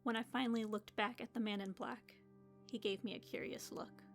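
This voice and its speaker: A woman's voice: fairly high pitch, fairly even intonation, fairly quick, over music, lightly acted. A woman playing a shaken but defiant young hospital patient who narrates her own story in an audio drama. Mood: tense, reflective. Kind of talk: audio drama acting with first-person narration.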